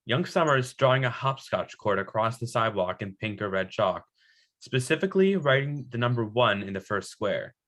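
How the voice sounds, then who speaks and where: A man's voice: mid-range voice, animated, moderate pace, fairly bright tone. A man narrating script directions at a table read. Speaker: stage directions reader.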